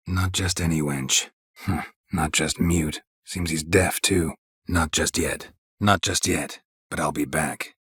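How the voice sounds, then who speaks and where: A man playing a gruff, stoic, sardonic monster-hunting mercenary in a video game, terse and deadpan with a gravelly voice. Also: a bass voice, no echo, clean studio sound, exaggerated voice. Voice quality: gravelly, husky. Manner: terse, deadpan, clipped sentences. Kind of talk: video game dialogue acting.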